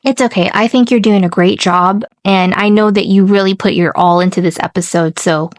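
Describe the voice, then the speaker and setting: Middle-aged woman podcast co-host, medium pitch, gushing emphatic casual talk, very dry recording. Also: fairly even intonation, moderate pace, no echo. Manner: effusive, emphatic with repeated phrases. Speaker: podcast co-host reacting to the story.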